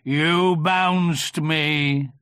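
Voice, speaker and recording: A reader voicing a gloomy old male toy donkey in a children's storybook, gruff, ponderous and morose. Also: medium pitch, steady tone, extremely slow, fairly bright tone, dry recording, clean studio sound. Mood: gloomy, sarcastic. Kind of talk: children's storybook character reading.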